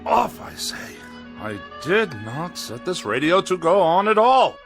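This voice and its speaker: An actor playing a haughty, indignant middle-aged misanthrope with a sarcastic, biting manner in a radio drama. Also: male voice, sits high, very animated, unhurried, over music, full character voice. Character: pompous, irascible middle-aged misanthrope writer.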